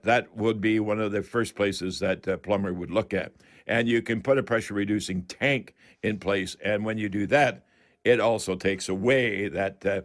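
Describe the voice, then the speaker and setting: An older man with a Canadian accent hosts a home improvement radio call-in show in a chatty, folksy way, talking straight to his listeners. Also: fairly low pitch.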